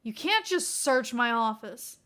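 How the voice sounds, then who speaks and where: A woman acts out a scheming male villain leader in a fan fiction audio story. He is haughty and formal, with grandiose phrasing and a bright, high voice. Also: very animated, measured pace. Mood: snappish. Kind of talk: acted fan fiction dialogue.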